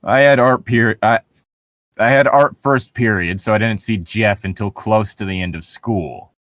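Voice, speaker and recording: A man's voice: on the low side, normal speed, fairly bright tone, dry recording. A man reading aloud casually as a comedy co-host, breaking off for sarcastic, jokey banter.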